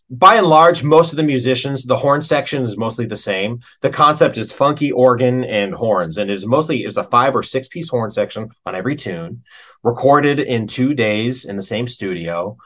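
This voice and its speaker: A middle-aged American man talking casually as an interview guest, telling stories like a working musician, with pauses and emphasis on key words. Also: medium pitch, fairly bright tone. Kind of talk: casual conversational storytelling.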